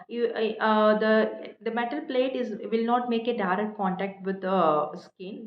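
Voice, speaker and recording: A woman lecturer with an Indian accent gives an explanatory technical lecture in a halting, hesitant way, repeating and rephrasing as she goes. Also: mid-range voice. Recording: echoey room, dull muffled sound.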